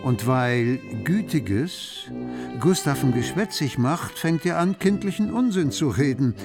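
An actor playing a wry literary narrator, telling the story in measured, scripted radio play style. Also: male voice, mid-range voice, animated, music in the background. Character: literary narrator, wry observer of bourgeois households.

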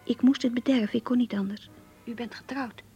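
An actress playing an earnest, lovestruck young woman confiding her diary in a radio play, in a hushed, breathy voice. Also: fairly high pitch, steady tone, brisk, soft background music, close to an everyday voice. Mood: lovestruck, uneasy. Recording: muffled, lo-fi radio play recording.

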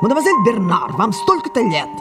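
An older actress playing an imperious, theatrical, aging great French actress in an audio drama, dramatic, commanding and mercurial. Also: female voice, on the high side, huge pitch swings, brisk, over loud music. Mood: impatient, commanding. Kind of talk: audio drama stage acting.

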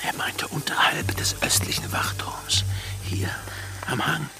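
An actor playing a bold, wry male pirate with a gravelly voice in a German radio play. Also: a little slow, whispered, natural voice. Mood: hushed, conspiratorial. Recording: music behind the voice.

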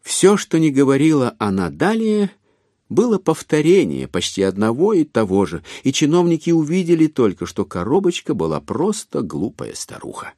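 A man's voice: a little high, big pitch swings, normal speed, warm tone, dry recording. A man narrating a literary audio play in a wry storytelling manner.

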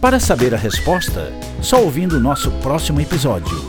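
Animated male radio serial narrator. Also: mid-range voice, brisk. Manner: teasing announcer delivery. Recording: music behind the voice.

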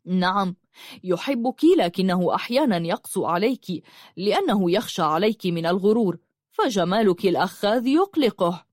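A woman voicing a young man who poses as a magician in a storybook, serious, measured and kindly. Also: mid-range voice, animated, dry recording, clean studio sound, natural voice. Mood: knowing, serious. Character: young man posing as a solemn, gentle magician.

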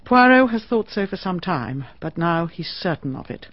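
An actress playing a brisk, sensible woman in a radio drama, speaking in a matter-of-fact way. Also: fairly low pitch, very animated. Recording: dull lo-fi broadcast recording.

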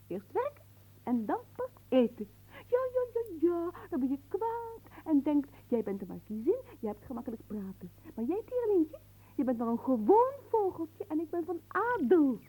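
An actress playing a vain, capricious marquise in a radio play, with an affected, cooing and haughty manner. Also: female voice, sits high, sing-song, moderate pace, dark tone, low-quality recording. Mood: teasing, bossy. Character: vain, capricious marquise doting on her caged songbird. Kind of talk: theatrical radio play acting.

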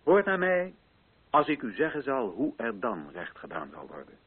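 A solemn colonial official in a radio drama, declaiming to assembled chiefs. His phrasing is rhetorical and deliberate, with wide swings in pitch. The sound is husky and narrow, like an old muffled broadcast. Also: huge pitch swings. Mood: stern, urgent. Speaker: actor in a radio play. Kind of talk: declaimed theatrical oratory in a radio play.

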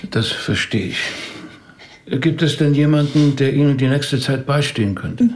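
An actor in a German radio drama playing a considerate, probing rabbi with a measured, polite manner. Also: male voice, medium pitch, darkish tone, natural voice. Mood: gentle, concerned.

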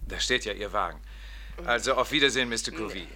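A man's voice: fairly low pitch, fast, sharp tone. A man playing a formally polite, insistent doctor who runs an institution, in a 1950s radio drama. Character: courteous, insistent, suspicious doctor running an institution. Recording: noisy old 1950s radio recording.

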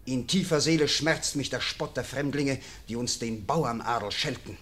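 A man's voice: mid-range voice, normal speed, fairly bright tone, lightly acted. An actor playing an ambitious young nobleman who argues his case earnestly and declaims in a classic radio play. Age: young adult.

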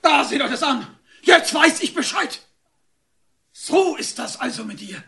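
A man's voice: very high voice, expressive, measured pace, gravelly, heavily acted. An actor playing an enraged, domineering father in a radio drama, hectoring and accusing. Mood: furious. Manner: accusing, hectoring, shouting outbursts. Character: enraged, domineering father, rigid provincial moralist. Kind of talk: German radio drama acting.

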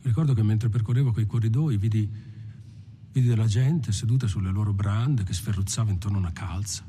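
An actor playing a reflective male lifer who recounts his past in a halting, literary, introspective monologue. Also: lowish voice, warm tone, a little hiss or hum, natural voice. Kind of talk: film monologue told like a personal testimony.

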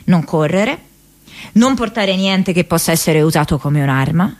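A woman acting a young American activist in a radio theatre monologue, thinking aloud from her diary in a reflective, halting, conversational way. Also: fairly low pitch, lively intonation, natural voice. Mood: brisk, recalling. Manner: halting, reflective, conversational, with hesitations and repeated words.

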